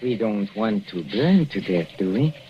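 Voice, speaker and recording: A softly gloating poisoner husband. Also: male voice, on the low side, lively intonation, unhurried, a little hiss or hum. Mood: menacing. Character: menacing husband poisoning his wife. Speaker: villain in a radio play. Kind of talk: 1940s radio acting. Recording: dull old radio broadcast.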